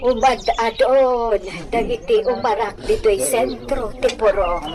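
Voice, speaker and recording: A woman's voice: fairly high pitch, fairly even intonation, unhurried, music in the background, close to an everyday voice. A woman playing a skeptical, level-headed village confidante in an Ilocano audio drama. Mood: conversational.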